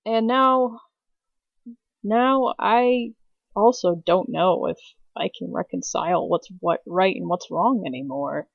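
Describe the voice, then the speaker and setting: A man's voice: sits high, slow, vocal fry, no echo, exaggerated voice. A man playing a conscience-troubled wanderer in a tabletop game, hesitant and reflective, on slightly dull audio. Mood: troubled, confessional. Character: uncertain, conscience-troubled wanderer far from home.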